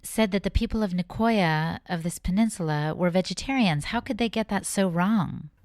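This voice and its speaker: A woman hosts a podcast interview and asks clear, engaged questions. Her voice sits at a middle pitch. The recording is dry, with no room sound. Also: moderate pace, darkish tone, no echo. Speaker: podcast host.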